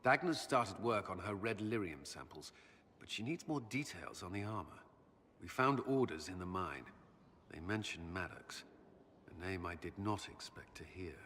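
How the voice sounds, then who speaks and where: An actor playing a grave, duty-bound military commander in a video game, earnest, measured and formal. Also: male voice, sits low, expressive, pushed and bright. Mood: somber. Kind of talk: dramatic video game cutscene acting. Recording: hall reverb with background noise.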